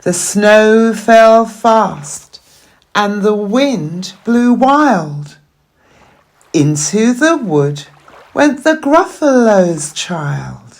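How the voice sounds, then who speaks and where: A woman tells a children's story with theatrical expression. Her pace is extremely slow and deliberate, and her medium-pitched voice moves widely up and down. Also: expressive. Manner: dramatic, deliberate. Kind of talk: expressive picture-book reading for young children.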